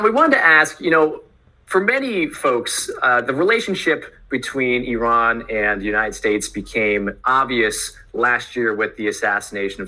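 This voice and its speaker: A man's voice: mid-range voice, pushed and bright, rough recording. A man co-hosting a history podcast, introducing the guest and asking questions with some fillers. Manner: scripted intro, then loose questions with fillers. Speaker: podcast co-host.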